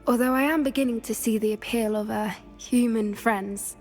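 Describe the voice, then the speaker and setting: A woman voicing a gentle, earnest teenage witch in a video game, sincere and slightly hesitant. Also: a little high, fairly even intonation, moderate pace, faint music behind, natural voice. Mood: warm, sincere. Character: earnest, gentle teenage schoolgirl at wizard school. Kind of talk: naturalistic video game cutscene acting.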